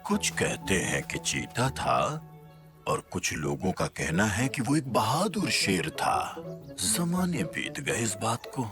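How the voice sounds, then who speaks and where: A kindly old panda elder in a children's cartoon. His voice sits very low and moves slowly, with dramatic, animated swings as he spins tales for the young ones. Loud music plays behind him. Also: full character voice. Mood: dramatic, storytelling. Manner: unhurried, dramatic storytelling with chuckles. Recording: music under the voice.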